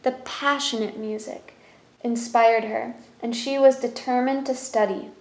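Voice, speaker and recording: A woman's voice: on the high side, steady tone, measured pace, darkish tone, roomy sound, rough recording. A woman reading a children's picture book aloud as a storyteller, in narration with brief explanatory asides.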